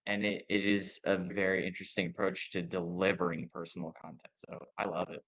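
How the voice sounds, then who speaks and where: Low-voiced male interviewer, conversational. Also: flat delivery, fairly quick, a bit bright, rough recording. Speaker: interviewer, host.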